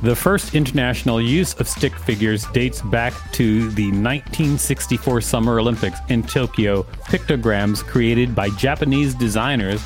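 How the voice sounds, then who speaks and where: A man's voice: medium pitch, moderate pace, few pauses, faint music behind. A man co-hosting a podcast, reading an encyclopedia article aloud with casual asides. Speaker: podcast co-host and reader.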